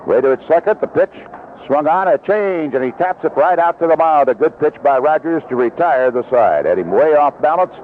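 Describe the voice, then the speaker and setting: Animated baseball announcer, man, on noisy old radio. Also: fairly high pitch, a little fast. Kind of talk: live radio baseball commentary, 1970s. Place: outdoor ballpark broadcast booth. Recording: lo-fi vintage AM radio broadcast, heavy noise. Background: loud stadium noise.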